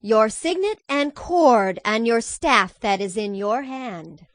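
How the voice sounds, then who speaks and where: A woman's voice: on the high side, expressive, takes it slowly, no room sound, clean studio sound. A woman playing a composed, shrewd young widow in an audio Bible drama. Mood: bargaining.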